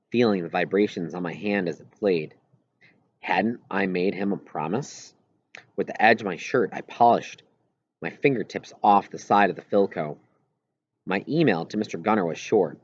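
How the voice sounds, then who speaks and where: A man reading a first-person story aloud as scripted audiobook narration. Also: low-pitched. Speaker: audiobook reader. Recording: roomy echo, slightly dull lo-fi sound.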